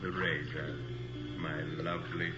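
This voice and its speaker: Low, echoing, vengeful husband. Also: male voice, level tone, music in the background. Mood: cold menace. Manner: slow, quiet, sinisterly tender. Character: vengeful betrayed husband, coldly menacing and mocking. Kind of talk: 1980s radio horror acting. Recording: echoey, dull, noisy radio recording.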